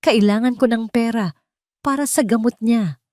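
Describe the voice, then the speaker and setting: A woman's voice: on the high side, moderate pace, a little mellow, no echo, studio quality, voice pushed up, natural voice. A woman voicing a scared, guilty poor woman who pleads tremblingly and deferentially in an audio drama. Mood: tearful confession.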